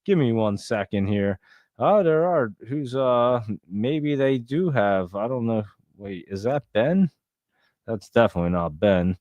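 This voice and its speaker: A man's voice: medium pitch, expressive, a little slow, darkish tone, no echo, highs cut off. A man in his forties with a New Jersey accent, rambling casually as he hosts a live stream and answers viewer comments. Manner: rambling, chatty, lots of fillers and restarts. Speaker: livestream host.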